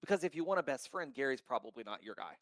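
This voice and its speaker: A loud, outspoken man talks bluntly in a casual chat and swears freely. His voice sits in an ordinary middle pitch. The sound is dry and close, with no room echo. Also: very animated, fast talker. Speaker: crypto token founder.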